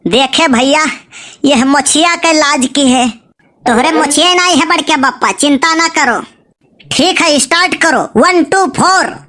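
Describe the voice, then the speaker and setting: High, bright, pleading Awadhi cartoon matchmaker played by a woman. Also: unhurried. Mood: eager, cheeky. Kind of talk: comic cartoon dialogue in village dialect.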